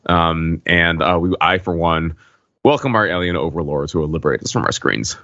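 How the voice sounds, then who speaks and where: A man co-hosting a podcast in casual chat, halting and tongue-in-cheek as he makes his points. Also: low voice, pushed and bright, no echo, muffled recording. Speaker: podcast co-host.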